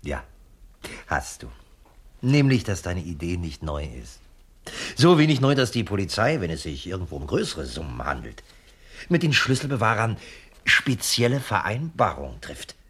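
A man's voice: low-pitched, sing-song, normal speed, fairly bright tone, breathy edge, full character voice. An actor playing a sceptical, know-it-all male criminal accomplice who explains pointedly in a 1970s radio crime comedy. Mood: dry, sceptical.

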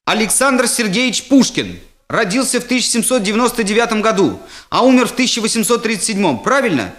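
A man's voice: high voice, very animated, quick pace, a bit bright, voice pushed up. A man voicing a cheeky schoolboy in a comic story, drawing out his words with over-eager, feigned innocence. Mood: stalling, feigned confidence. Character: stalling, cheeky fifth-grade schoolboy bluffing through a recited poem.

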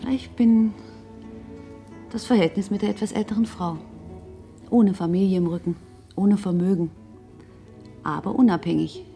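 A woman's voice: mid-range voice, moderate pace, gravelly, long pauses, warm tone, faint music behind, close to an everyday voice. Actress as a sardonic, independent woman in radio drama. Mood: wry, talkative. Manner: talkative, ironic, pointed. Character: self-assured, sardonic, independent older girlfriend of a runaway man. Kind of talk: naturalistic radio drama acting.

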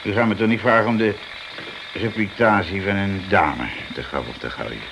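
An actor playing a cheeky, evasive small-time crook in a radio drama, mock-polite and streetwise. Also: male voice, deep voice, moderate pace. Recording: dull, noisy old radio play recording.

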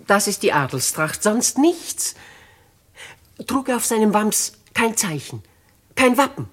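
An actress playing a dignified, compassionate and resolute old noblewoman, with grave, measured, stately delivery in a 1950s radio play. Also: mid-range voice, sing-song.

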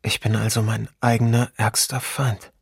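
Husky, brooding man. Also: lowish voice, little pitch movement, moderate pace, no room sound, studio quality, heavily acted. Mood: bitter, brooding. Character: bitter, ironic half-Ukrainian, half-Russian man questioning himself. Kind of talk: reflective radio play monologue.

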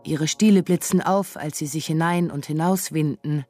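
A woman's voice: deep voice, steady tone, moderate pace, mellow, no echo. A woman narrating a literary radio drama in scripted, descriptive prose. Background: music.

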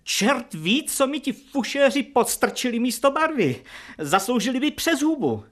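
An actor playing a lecherous ageing dandy in a radio drama, with a giggly, affected, boastful manner. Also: male voice, very high-pitched, very animated, a bit bright, heavily acted. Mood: peevish.

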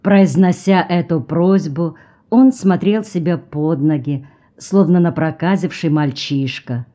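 A woman reading a literary novel aloud as an audiobook, calm and even, lifting her voice a little for the characters' speech. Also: deep voice, measured pace. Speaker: audiobook reader.

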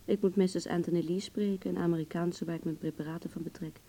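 Radio play lead: wry, wounded beautician in love, female, mellow relaxed tone, intimate and hesitant delivery. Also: on the low side, fast talker. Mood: subdued. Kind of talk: Dutch radio play acting, intimate dialogue.

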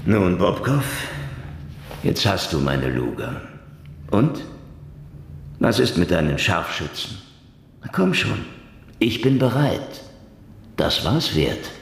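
An actor playing a sardonic, world-weary ex-agent in a noir radio drama, brooding and deadpan in his delivery. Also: low voice, takes it slowly, long pauses, slight background noise, full character voice. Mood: defiant. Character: world-weary, sardonic hard-boiled man, jailed ex-state-security agent. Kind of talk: noir first-person radio drama narration. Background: music.

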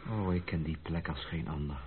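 An actor playing an earnest, lovelorn gentleman of rank in a Dutch radio drama, speaking formally and with dramatic feeling. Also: very deep voice, steady tone, a little fast, a little hiss or hum. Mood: regretful, pained. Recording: muffled, band-limited old radio recording.

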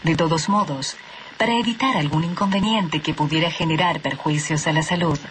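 Low-pitched woman in scripted radio reading. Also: normal speed. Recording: faint background noise.

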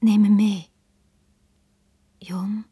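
An actress playing a lonely, pleading young woman, frightened yet proud, with a breathy, husky voice and a fragile intensity in a radio drama. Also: mid-range voice, little pitch movement, extremely slow, dark tone, exaggerated voice. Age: young adult.

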